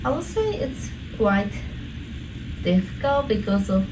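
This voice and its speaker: A woman's voice: medium pitch, big pitch swings, measured pace. A woman in her twenties with a Japanese accent, answering interview questions hesitantly with many fillers. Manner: hesitant, lots of fillers, searching for words. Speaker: guest. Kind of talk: casual interview. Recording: echoey room from a distance, noisy.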